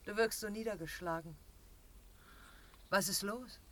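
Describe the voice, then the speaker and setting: An actress playing a god's devoted, defiant daughter in a fantasy radio drama, earnest and pleading in manner. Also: female voice, mid-range voice, measured pace, sharp tone. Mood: concerned. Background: dramatic music.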